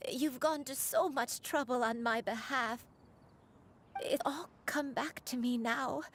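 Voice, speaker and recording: Game role: tender old grandmother, once a crane, fairly high voice, formal and remorseful, unhurried delivery. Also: a little hiss or hum. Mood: sorrowful, tender. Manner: slow, tender, formal storytelling. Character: gentle old grandmother, a crane turned human, burdened with guilt. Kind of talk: dramatic video game voice acting.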